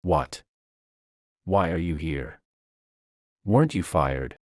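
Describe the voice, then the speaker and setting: Man voicing a deep-voiced blustering fired director. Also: very animated, slow pace, a little mellow, dry recording, clean studio sound, exaggerated voice. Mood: scornful complaint. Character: blustering, indignant fired male company director. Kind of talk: audio story dialogue.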